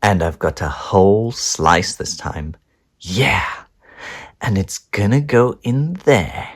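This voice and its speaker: A man reads a children's story aloud with theatrical flair. His pitch swings widely, yet he takes his time over each phrase. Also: expressive, slow. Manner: theatrical, with whispers and chanted sound words. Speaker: storybook reader.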